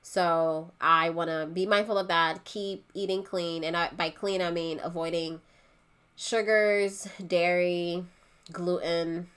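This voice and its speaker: A woman from Haiti talks in a relaxed group chat with a slightly low voice. She is pregnant, chatty and laughs easily. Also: takes it slowly, clean tone. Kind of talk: casual chat.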